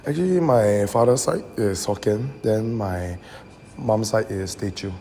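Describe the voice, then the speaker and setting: A young man from Singapore answers in a relaxed, easygoing way. His voice is gravelly and mellow, with a faint hum of ambience behind. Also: lowish voice, measured pace. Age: young adult. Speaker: design student interviewee. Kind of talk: casual interview answers.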